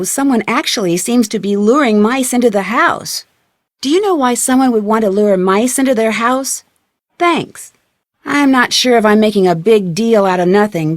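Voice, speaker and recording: A woman acts out a young female sleuth from a video game, with a fairly high voice and a close, dry sound. She is polite and inquisitive, putting her questions with open curiosity. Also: no room sound, natural voice. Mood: suspicious, earnest. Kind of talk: video game dialogue acting.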